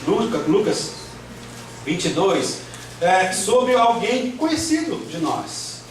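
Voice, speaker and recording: A man's voice: high voice, big pitch swings, takes it slowly. A Brazilian man preaching a sermon as a pastor, with emphatic, repetitive phrasing. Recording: distant, very echoey room, faint noise.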